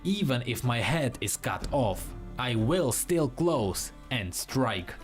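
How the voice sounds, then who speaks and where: A man voicing a stern, disciplined male ninja in a fantasy story, grave and deliberate. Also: mid-range voice, big pitch swings, soft background music.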